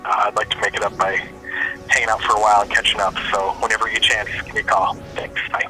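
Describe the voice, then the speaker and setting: A man playing an informal, awkward friend in an audio drama, leaving a halting, rambling voicemail. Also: fairly low pitch, little pitch movement, sharp tone, faint music behind, rough recording. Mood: sheepish, apologetic. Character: joshing, awkwardly apologetic male friend. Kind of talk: casual voicemail in audio fiction.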